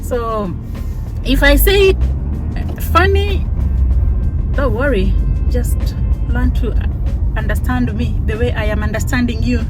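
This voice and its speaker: A woman's voice: fairly high pitch, rough recording. A woman from Africa whose first language is not English, chatting casually to her viewers while driving, often laughing and repeating words for emphasis. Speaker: vlogger. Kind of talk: casual driving vlog chat. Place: inside a car. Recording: loud music underneath.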